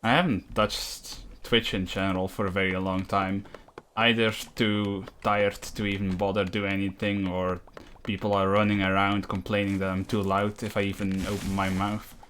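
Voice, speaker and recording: A man's voice: sits low, flat delivery, moderate pace, fairly bright tone. A man chatting casually as he livestreams a game, with a dry, wry manner. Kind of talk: casual chat while gaming. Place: room in a shared house. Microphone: voice chat on push to talk. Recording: clear background noise, clicks.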